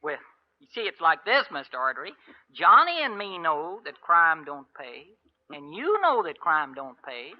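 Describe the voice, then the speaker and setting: An actor playing a folksy, cheeky cowhand sidekick in an old-time radio comedy western. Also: male voice, a little high, big pitch swings, normal speed. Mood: sheepish, cheeky. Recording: dull vintage radio broadcast recording.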